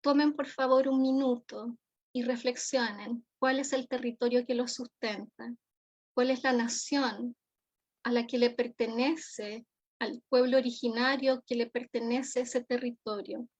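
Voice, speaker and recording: High-voiced Chilean woman giving a formal lecture. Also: steady tone, a little slow. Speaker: forensic psychologist giving a keynote lecture. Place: remote online conference. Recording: dull, lo-fi audio.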